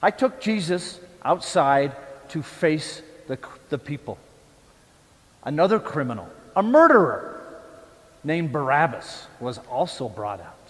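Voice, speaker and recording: Male actor as troubled Roman governor, church drama. Fairly high voice, very animated, emphatic, defensive, with big hall reverb. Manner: emphatic, declamatory, deliberate. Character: troubled Roman governor, indignant and self-justifying. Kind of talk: church dramatic monologue, theatrical storytelling.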